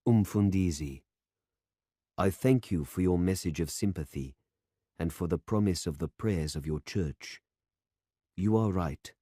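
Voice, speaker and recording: A grieving older gentleman writing a formal letter, read by a male narrator. The voice is low, dark and mellow, measured and restrained, and recorded close and dry. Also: no echo.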